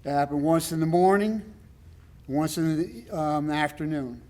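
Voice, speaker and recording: A man with a loud voice giving a rambling, emphatic public comment at a town meeting, with many fillers. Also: a little high, measured pace. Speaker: resident speaking at public comment.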